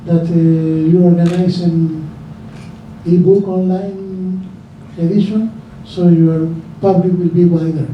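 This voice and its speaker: Slow high-pitched Chilean man in echoey room. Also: little pitch movement, slight background noise. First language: Spanish. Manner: deliberate, pausing between phrases. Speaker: audience member. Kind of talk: personal testimony to an audience. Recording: very echoey room, dull distant sound.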